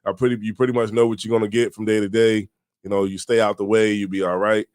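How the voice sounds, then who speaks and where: A man from Delaware co-hosting a casual podcast, talking in a relaxed, halting way with fillers. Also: lowish voice, clean tone, dry recording, studio quality. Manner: relaxed, halting with fillers, gets loud when joking. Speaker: podcast co-host. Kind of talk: casual chat.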